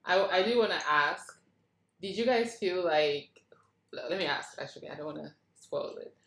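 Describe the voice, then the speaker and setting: A woman with a slightly low voice leads a casual feedback discussion. She is recorded in an echoey room and speaks as the one asking the questions. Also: expressive, normal speed, fairly bright tone, slight background noise. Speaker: writer leading a feedback discussion.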